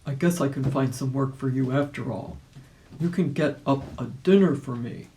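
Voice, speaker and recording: A woman voicing a savage, bossy male wolf who calls out loudly in a children's story. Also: very deep voice, moderate pace, slight background noise. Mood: gruff demanding. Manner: loud calling out.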